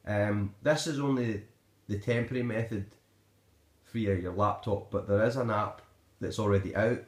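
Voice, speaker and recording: A man's voice: low voice, echoey room. A man with a Scottish accent giving a casual, unscripted review in a hesitant, rambling way, recorded on a phone in a living room. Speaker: amateur gadget reviewer. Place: indoors in a living room at home. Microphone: handheld phone camera.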